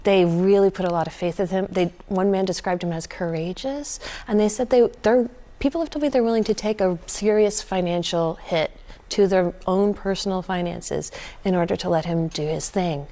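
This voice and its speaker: A woman's voice: lowish voice, moderate pace, dark tone. A woman news correspondent reporting earnestly in a live interview on TV.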